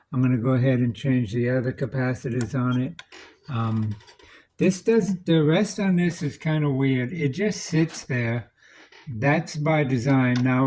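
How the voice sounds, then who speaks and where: A man with a mid-pitched voice presents a repair in an offhand, unscripted way. He is plain-spoken and practical, working out his thoughts aloud as he goes. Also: a little mellow, rough recording. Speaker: electronics restorer presenting a repair demonstration. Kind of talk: casual unscripted demonstration.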